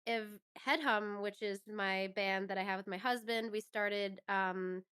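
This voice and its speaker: Woman as interview guest, crisp and smooth mid-range voice, relaxed conversational phrasing, agreeable manner. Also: mid-range voice, measured pace. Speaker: interview guest, actress and musician. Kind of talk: casual chat.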